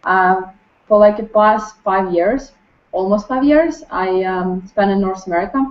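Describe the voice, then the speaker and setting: The twenty-something Ukrainian woman taking her turn to introduce herself at a staff workshop, feeling for her words in a dull, roomy recording. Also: mid-range voice. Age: 20s. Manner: halting, non-native English phrasing. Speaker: course participant introducing herself. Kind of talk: casual personal sharing in a group. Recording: muffled lo-fi, roomy echo.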